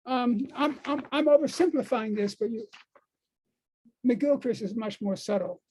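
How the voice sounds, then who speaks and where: An older American man giving an informal talk to a group, speaking haltingly and repeating words and phrases for emphasis. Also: very high voice, a little mellow, slightly rough, low-quality recording. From United States. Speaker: speaker on dream work.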